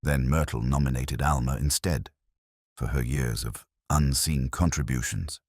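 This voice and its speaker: A man voicing an anxious, bitter small-town woman in a mystery story, hesitant, hushed and defensive. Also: a bass voice, measured pace, slightly rough, no echo, clean studio sound, exaggerated voice.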